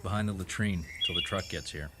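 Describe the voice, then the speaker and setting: An actor playing a hard-bitten, cynical soldier in a radio drama, speaking in a blunt, sarcastic way. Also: male voice, low-pitched, a little fast, very noisy, natural voice.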